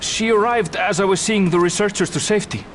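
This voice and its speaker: A man's voice: high-pitched, a little fast, a bit bright, faint music behind. A man playing a courteous young adventuring companion in a video game, well-spoken and explanatory. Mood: explaining.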